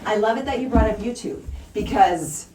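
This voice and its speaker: A woman's voice: medium pitch, normal speed, warm tone. A woman drama teacher giving classroom instructions to children, explaining step by step in simple phrases and stressing key words. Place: indoor school classroom. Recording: roomy echo, distant mic, faint noise.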